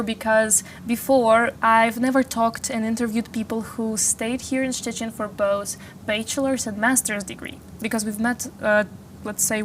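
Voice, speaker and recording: A woman's voice: on the high side, a bit bright, slight background noise. A woman in her twenties hosting a studio interview, upbeat and chatty, asking long, winding questions. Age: 20s. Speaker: interview host. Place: small studio. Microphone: lapel.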